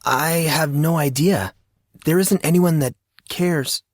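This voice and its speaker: A man's voice: a little high, slow pace, no echo, clean studio sound, pitched above the performer's own voice. A man voicing a troubled fourteen-year-old boy in an audiobook, earnest and full of questions. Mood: weary.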